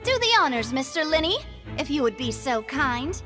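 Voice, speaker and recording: A woman's voice: sits high, sing-song, moderate pace, over music. An actress voicing a confident, playful young woman in a video game, with a teasing, showy, persuasive manner. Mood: playful, interjecting.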